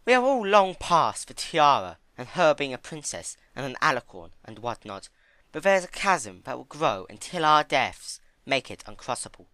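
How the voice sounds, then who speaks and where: A man's voice: medium pitch, sing-song, moderate pace, pushed and bright, no echo, voice pushed up. A man voicing a refined, vain female pony in an affected, theatrical manner for a dramatic storybook reading. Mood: sombre. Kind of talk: dramatic fan fiction reading.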